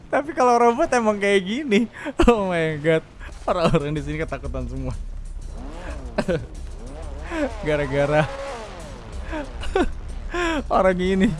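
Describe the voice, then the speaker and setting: Guy chatting over his game, high creaky voice, really animated. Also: takes it slowly, long pauses, soft background music. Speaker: gaming streamer. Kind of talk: casual gameplay commentary.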